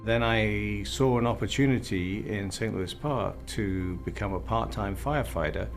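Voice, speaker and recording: Middle-aged fire chief with faint Welsh accent. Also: male voice, fairly low pitch, normal speed, faint music behind. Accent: slight Welsh. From Wales. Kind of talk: interview talk.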